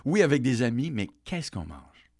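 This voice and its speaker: Male radio host, playful, lively pitch, dry booth. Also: moderate pace, husky, no echo. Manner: playful, teasing. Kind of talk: radio interview, rapid-fire questions.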